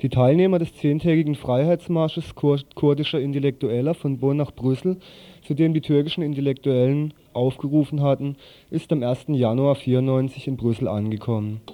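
Very dark-voiced man haltingly reading news. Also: medium pitch, steady tone. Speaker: radio news presenter.

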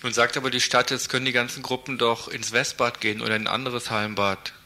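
A man's voice: medium pitch, little pitch movement, fast, sharp tone. A man working as a radio reporter, reading scripted news narration and putting interview questions.

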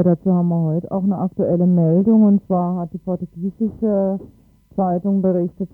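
High-voiced male radio news presenter. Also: no echo, phone-quality sound. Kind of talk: news report.

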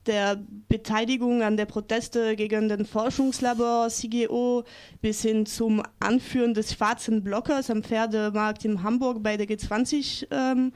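A woman presenting a radio news report in a halting way, stumbling over her words. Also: a little high, darkish tone.

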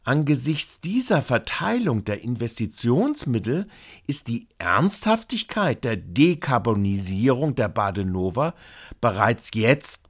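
A man reading scripted, critical news commentary in a formal, halting way with long sentences. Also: mid-range voice. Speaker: reporter and commentator.

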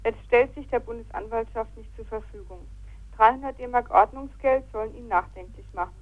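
A woman giving a scripted court report as a news correspondent, on a muffled phone-in radio recording. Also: fairly high pitch.

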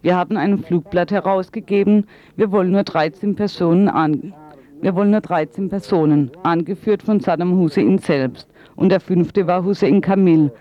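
A man's voice: high voice, moderate pace, highs cut off. A man working as an interpreter, giving a voice-over translation of an interview.

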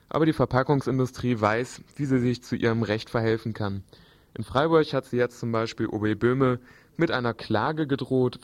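Mid-pitched man presenting radio news, dry sound. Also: a little mellow, no room sound. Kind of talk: semi-scripted news preview.

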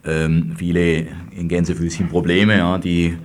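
A man speaking as a lawyer in a radio panel discussion, deliberating haltingly as he goes. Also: low voice, level tone. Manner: halting, deliberating with hesitant pauses.

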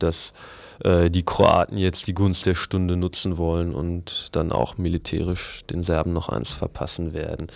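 Guy doing radio press review, kinda monotone, dry close sound, stumbles looking for words. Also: low-pitched, creaky, dry recording. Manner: halting, hesitant, searching for words. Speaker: radio news presenter. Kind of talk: semi-improvised press review commentary.

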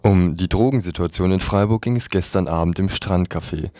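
Man, radio news presenter, scripted delivery, deep, mellow. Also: brisk.

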